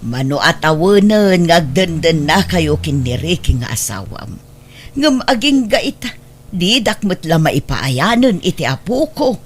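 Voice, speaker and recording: A woman's voice: on the low side, very animated, unhurried, slightly rough, heavily acted. A woman playing an older mother and doting grandmother, anxious and remorseful, in an Ilocano-accented radio drama. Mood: concerned. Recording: music bed behind the voice.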